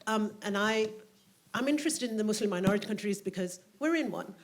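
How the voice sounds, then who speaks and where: A woman lecturer in a seminar audience, asking a measured, articulate question. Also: medium pitch, animated.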